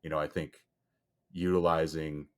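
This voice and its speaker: A man whose first language is Chinese, answering podcast interview questions in a measured way with frequent "you know" fillers. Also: very deep voice, level tone, smooth. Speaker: guest, storage company executive.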